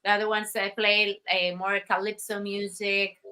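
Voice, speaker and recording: Bright-voiced Costa Rican woman, thoughtful. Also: medium pitch, level tone, normal speed. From Costa Rica. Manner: thoughtful, hesitant with many fillers and restarts. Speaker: guest artist. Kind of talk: interview conversation.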